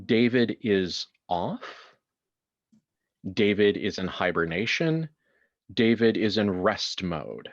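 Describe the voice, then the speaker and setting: Low-voiced man hosting, dull lo-fi sound. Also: lively intonation, slow, long pauses, faint music behind. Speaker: game master and host. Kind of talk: casual improvised introduction and horror game narration.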